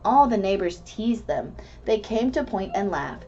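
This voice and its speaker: A woman reading a rhyming children's book aloud in an expressive, measured storytelling manner. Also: medium pitch, faint music behind. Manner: animated, measured storytelling delivery. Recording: dull lo-fi recording with background noise.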